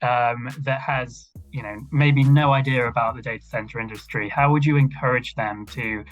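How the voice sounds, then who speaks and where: A man's voice: medium pitch, fairly even intonation, moderate pace, highs cut off, faint music behind. A man interviewing a guest, asking questions in a hesitant way with many restarts.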